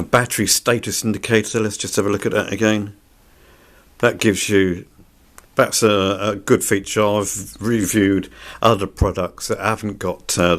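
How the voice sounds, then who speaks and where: A man giving an unscripted product review in a plain-spoken, somewhat hesitant way. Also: fairly low pitch. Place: indoors.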